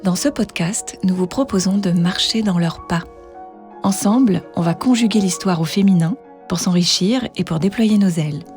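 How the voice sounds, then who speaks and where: A woman's voice: lowish voice, normal speed, soft background music. Woman host reading a podcast intro. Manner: reads prepared text, warm laughs between lines. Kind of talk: scripted podcast intro and interview.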